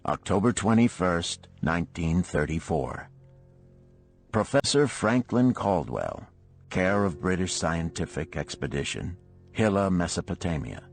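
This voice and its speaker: Male narrator, low register, formal and measured scripted storytelling, light music bed underneath. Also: animated. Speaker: audiobook narrator. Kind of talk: scripted book narration, reading letters and parables. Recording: music behind the reading. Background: background music.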